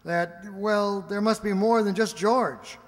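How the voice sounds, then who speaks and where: Man acting a hesitant, nervous, slow-talking aide. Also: sits high. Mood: anxious. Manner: hesitant, apologetic. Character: nervous, deferential male aide reporting to his chief. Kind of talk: amateur radio play acting. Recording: reverberant hall.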